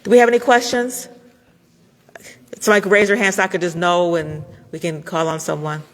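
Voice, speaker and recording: A woman moderating a city public input meeting, speaking firmly and clearly with a big voice, in a public meeting hall. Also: fairly low pitch, level tone, brisk. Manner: firm, clear, loud speaker. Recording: reverberant hall.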